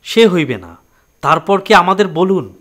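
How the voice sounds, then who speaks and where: Earnest period man, audio drama, high pitch, very unhurried delivery. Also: expressive, fairly bright tone, heavily acted. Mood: insistent.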